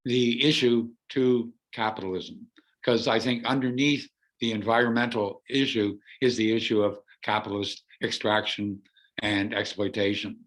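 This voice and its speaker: A hoarse man from Canada with laryngitis, an artist earnestly explaining his artwork over a video call. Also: lowish voice, fairly even intonation, moderate pace, fairly bright tone.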